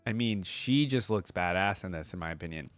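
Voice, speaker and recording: Low-voiced man reacting casually. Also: animated, brisk, a bit bright, dry recording. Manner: informal, slangy, thinking aloud. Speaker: reaction video host.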